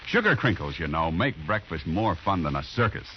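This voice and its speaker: A man's voice: sits low, very animated, moderate pace, a little hiss or hum. A male radio announcer in a polished, upbeat 1950s style, reading copy on an old radio recording. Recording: dull vintage radio recording. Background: music.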